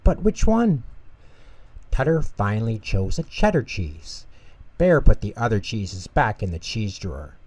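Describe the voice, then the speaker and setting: A man narrating a children's bedtime story in an expressive, unhurried way. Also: fairly low pitch.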